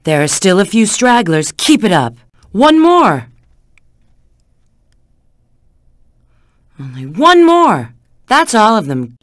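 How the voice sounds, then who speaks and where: Casting: confident female bat treasure hunter, video game guide; coy, teasing, very animated intonation, dry studio sound. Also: mid-range voice, measured pace, no room sound. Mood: encouraging, brisk. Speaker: video game character giving hints. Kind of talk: video game voice acting, tutorial prompts.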